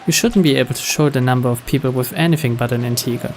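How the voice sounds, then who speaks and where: A man's voice: medium pitch, quick pace. A man reading a game's text aloud as dramatic scripted narration. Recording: steady rain noise behind. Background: rain.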